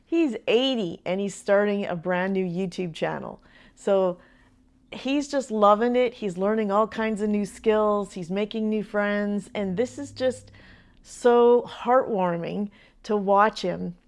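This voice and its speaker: A woman's voice: medium pitch, slow. Older woman, retired grandmother, chatty, earnest, laughs between thoughts, advice vlog. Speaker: vlogger.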